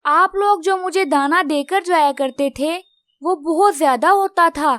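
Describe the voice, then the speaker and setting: A small, sensible female cartoon bird , played by a woman, with a very high voice. She talks gently and reassuringly, brave and grateful in temper. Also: a little slow, no echo. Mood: gentle, hopeful. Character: wise, brave, grateful little female tailorbird. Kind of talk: Hindi children's cartoon dialogue.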